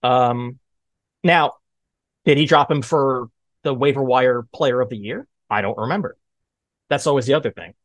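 A man in his thirties hosting a sports podcast, chatting casually in an animated way. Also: mid-range voice, normal speed, long pauses, no echo. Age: 30s. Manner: animated, mimics exclaimed reactions. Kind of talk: casual sports debate chat.

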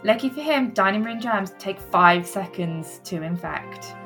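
A woman's voice: medium pitch, lively intonation, measured pace, bright, forceful tone, faint music behind. A British woman reading a scripted comic news segment in a playful newsreader style.

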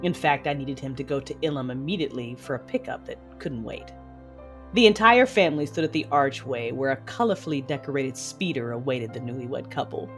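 A woman playing a proud, imperious mother in a sci-fi audio drama, narrating formally and deliberately. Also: extremely low pitch, lively intonation, faint music behind. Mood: proud, reflective.

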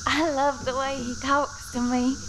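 A woman's voice: high-pitched, level tone, measured pace, background noise. A woman acting as a dreamy young woman enchanted by a lover, in a folk horror audio drama. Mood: dreamy, smitten. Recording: voice under loud music.

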